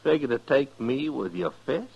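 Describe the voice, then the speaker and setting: An actor playing a swaggering, taunting Old West bully with an American drawl in a 1950s radio western. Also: male voice, mid-range voice, expressive, normal speed, creaky, a little mellow, breathy edge. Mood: sneering, cocky. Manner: drawling, mocking, menacing. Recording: muffled vintage radio with background music.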